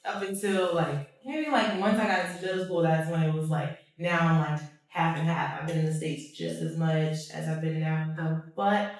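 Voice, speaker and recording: A woman's voice: sits low, fairly even intonation, normal speed, hardly any pauses. A young woman from Cameroon, a podcast guest, chatting casually with lots of fillers. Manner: chatty, filler-heavy storytelling. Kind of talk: casual interview. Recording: roomy, distant mic.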